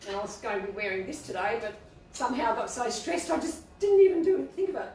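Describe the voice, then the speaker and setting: Woman, quiet bubbly boutique co-host, slightly high voice, echoey room, faint hiss. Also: very animated, fast, recorded from a distance. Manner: bubbly, rambling, self-deprecating. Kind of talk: casual live-stream chat. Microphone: no mic, far from laptop camera.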